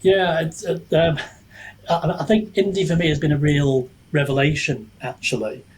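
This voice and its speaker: Husky-voiced man thinking aloud in casual discussion. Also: on the high side, fairly even intonation, moderate pace. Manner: reflective, hesitant, hedging, thinking aloud. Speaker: podcast guest, author and book reviewer.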